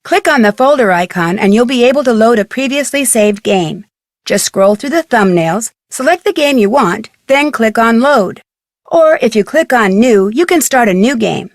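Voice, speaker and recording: An upbeat teenage detective guiding players through a mystery game. Her voice is slightly high and recorded close and dry. She explains things in tidy, scripted steps. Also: lively intonation, normal speed, no echo. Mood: helpful, instructive. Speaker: tutorial guide in a mystery game. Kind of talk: scripted instructional narration.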